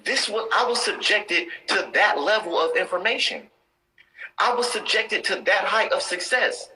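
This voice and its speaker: A man's voice: high voice, normal speed, bright, forceful tone, slight background noise, rough recording. A man in his thirties giving motivational talk on a live stream, intense and preachy, repeating his points for emphasis. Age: 30s.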